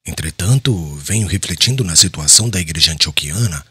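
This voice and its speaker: An actor playing a prudent, concerned apostle and former fisherman in an audiobook, raspy, measured and solemn. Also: sits low, lively intonation, bright, forceful tone, no echo, heavily acted. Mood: grave, concerned. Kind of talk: dramatized audiobook dialogue.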